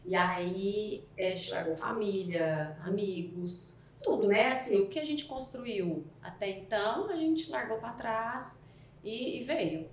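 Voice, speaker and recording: A woman with a softened Mineiro accent, a podcast guest telling her story in a rambling, self-correcting way. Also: medium pitch, a little slow, slight background noise. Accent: Mineiro Brazilian Portuguese, softened. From Minas Gerais, Brazil. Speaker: guest, entrepreneur. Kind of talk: casual podcast chat. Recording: echoey room, faint ambience.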